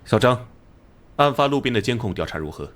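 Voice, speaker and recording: A man acting an earnest, authoritative police detective captain in a Chinese animated crime drama. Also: mid-range voice, close to an everyday voice. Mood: businesslike, commanding. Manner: authoritative, brisk, serious.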